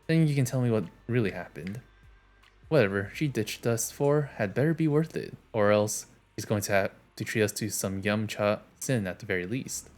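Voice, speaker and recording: A man's voice: fairly low pitch, expressive, normal speed, a little mellow. A man streaming a game, reading its dialogue aloud in a casual, plain way, with small restarts and asides. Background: game music.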